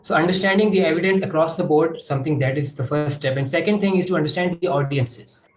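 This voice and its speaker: A man explains his approach on a panel, point by point. His voice is slightly high. The room around him echoes strongly. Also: quick pace. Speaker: panelist, doctor.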